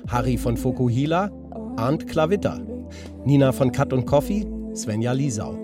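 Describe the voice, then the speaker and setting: A man's voice: mid-range voice, expressive, normal speed. A man reading scripted credits as the announcer of a children's radio play. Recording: loud music under voice.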